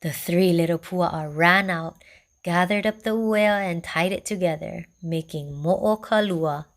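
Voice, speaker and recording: A woman storyteller reads a children's tale aloud. She takes her time, keeping an unhurried, gentle rhythm. Her voice sits a little low for a woman. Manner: slow, gentle, even reading.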